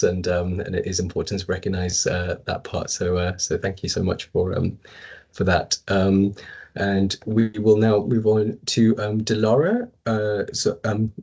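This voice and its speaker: A man's voice: deep voice, little pitch movement, moderate pace, a little mellow, slightly rough. A man moderating a webinar panel in a polite, hesitant way, introducing each speaker. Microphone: video call.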